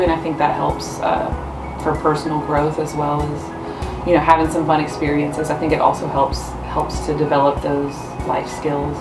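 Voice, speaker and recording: A woman speaking reflectively as a staff member in a documentary interview. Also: deep voice. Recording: echoey room, distant mic. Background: background music.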